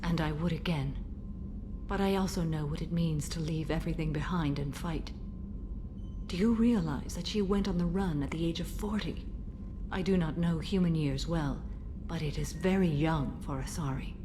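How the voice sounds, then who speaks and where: A woman's voice: low voice, normal speed, noisy background, natural voice. A woman playing a stern, sorrowful, centuries-old alien warrior mother in a video game, speaking in a solemn, formal way. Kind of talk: video game character acting. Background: game music.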